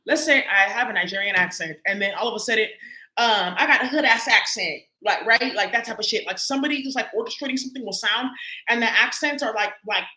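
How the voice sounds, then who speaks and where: Casting a woman tarot reader, quick and sharp-toned, slangy and profane, recorded in an echoey room. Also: a little high. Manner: loose, profane, heavy with filler words. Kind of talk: casual tarot reading to viewers.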